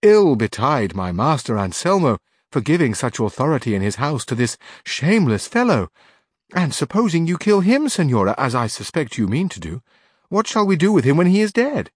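A man's voice: a little high, very animated, moderate pace, breathy edge, no echo, clean studio sound, voice pushed up. A man voicing a crafty young maidservant in a storybook reading, pleading and melodramatic. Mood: urgent, pleading.

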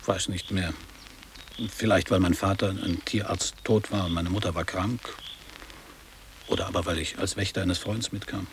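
Man playing middle-aged old boy back at his school, lowish voice, nostalgic and wordy, radio play. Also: steady tone, normal speed, slight background noise, close to an everyday voice. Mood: wistful, reminiscing. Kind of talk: radio drama, literary monologue and dialogue.

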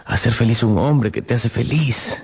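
An actor playing a doting but conventional and anxious young painter in a radio drama. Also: male voice, medium pitch, normal speed, a little mellow, soft background music, lightly acted. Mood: nervous, coaxing.

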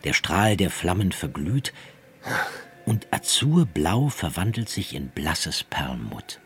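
A man narrating a radio drama in a measured, poetic, descriptive way, as a grave scene-setter. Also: low-pitched, sing-song, a little hiss or hum, full character voice. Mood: solemn, descriptive. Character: grave, unhurried scene-setting male narrator.

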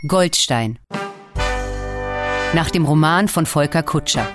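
A man's voice: a little high, expressive, takes it slowly, music in the background. A man reading scripted credits as the announcer of a radio drama.

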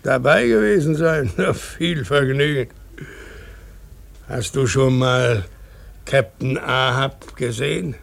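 A blustering old whaling captain from a radio drama, with a gravelly, creaky voice at a middle pitch. He is gruff and bullying, given to cursing and scornful mockery of whoever stands before him. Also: slow pace, pauses often. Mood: gruff, instructing. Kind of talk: German radio play acting.

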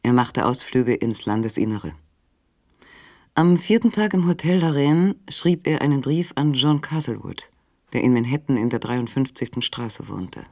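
A man's voice: mid-range voice, expressive, normal speed, a little mellow, no room sound, studio quality, natural voice. A man reading scripted narration for a radio play, on a recording with faint noise.

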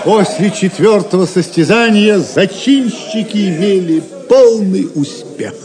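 A man's voice: sits high, unhurried, loud music behind the voice. A man giving dramatic scripted narration for an audio drama. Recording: crowd noise behind. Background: crowd of spectators.

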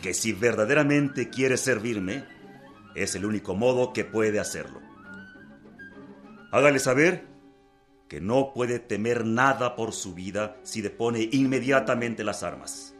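An actor playing a stern, unyielding president in a radio drama, formal and measured, with a Mexican accent. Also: male voice, fairly low pitch, animated, soft background music.

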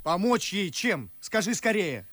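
Animated, fervent young nobleman. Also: sits high, measured pace, a little hiss or hum, exaggerated voice. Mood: urgent. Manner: declamatory. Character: fervent young nobleman, sarcastic, indignant idealist. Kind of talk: classic verse radio drama acting.

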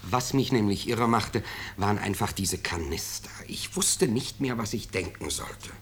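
An actor playing a smug, anxious middle-aged businessman in a 1950s radio drama, husky and insistently defending himself. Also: lowish voice, normal speed, a bit bright, slight background noise. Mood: agitated, evasive. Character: smug, self-justifying, anxious bourgeois businessman.

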